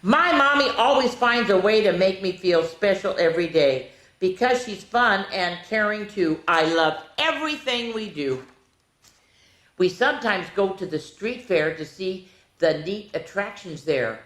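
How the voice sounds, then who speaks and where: Slow-paced woman reading storytime in echoey room. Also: medium pitch. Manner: animated, talks directly to children, stretches key words. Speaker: children's storytime host. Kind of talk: reading picture books aloud to young children with asides. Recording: roomy, echoey room sound.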